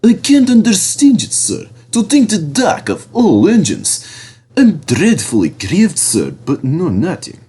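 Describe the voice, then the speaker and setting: A man's voice: a little high, wildly swooping pitch, unhurried, warm tone, slightly rough, pitched above the performer's own voice, full character voice. A man voicing a smarmy, scheming diesel engine feigning innocence in a storybook reading. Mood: feigned grief. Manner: oily, mock-grieved.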